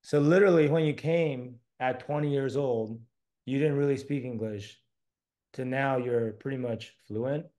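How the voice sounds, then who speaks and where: A man who moved to the States from Taiwan as a child, hosting an interview in a relaxed, casual way with many fillers. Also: medium pitch, measured pace. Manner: casual, friendly, lots of fillers like "um" and "like". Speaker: host.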